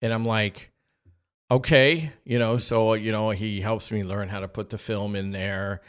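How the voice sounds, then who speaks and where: Male podcast storyteller, middle-aged, lower register, conversational, acts out the quotes in his anecdotes. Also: a little slow, clean tone. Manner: animated retelling with acted-out dialogue.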